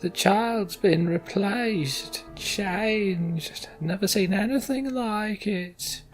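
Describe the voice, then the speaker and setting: A man voicing a fearful, superstitious old clansman in a fantasy game, speaking slowly and ominously. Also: sits high, soft background music, pitched above the performer's own voice.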